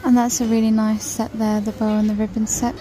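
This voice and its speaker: Woman, casual shop vlog voice, slightly high, flat delivery, mellow tone; faint store hum behind. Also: a little fast, poor audio. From United Kingdom. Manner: chatty, listing prices while browsing. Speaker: shopping vlogger. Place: large store aisle, indoors. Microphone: handheld phone camera.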